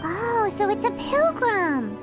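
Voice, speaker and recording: A woman's voice: sing-song, slow pace, exaggerated voice. A woman voicing a tiny, cheeky, childlike fairy companion in a video game. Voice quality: squeaky, childlike. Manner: exclamatory, cheeky. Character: tiny perky floating fairy companion girl, cheeky and loyal. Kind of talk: video game character acting. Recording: music playing behind voice. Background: game background music.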